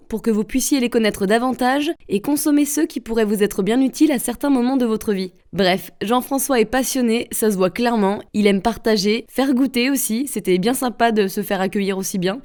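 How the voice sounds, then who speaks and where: A woman's voice: fairly high pitch, fairly quick, few pauses, a little mellow. A woman hosting a podcast, reading an upbeat intro and then interviewing a guest in a casual, chatty way. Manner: upbeat, chatty, lightly self-mocking. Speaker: podcast host. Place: empty yoga room with echo.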